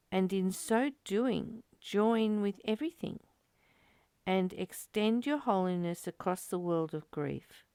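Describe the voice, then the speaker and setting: A woman giving a spiritual reading and reflection as a teacher, in a deliberate, measured way with emphatic pauses. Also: mid-range voice, vocal fry, mellow, no echo. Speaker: study group teacher reading and commenting.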